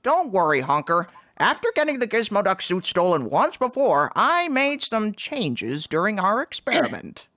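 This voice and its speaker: Woman voicing a very animated, reassuring cartoon sidekick. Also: medium pitch, moderate pace, few pauses, no room sound, clean studio sound. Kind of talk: live comic dub acting.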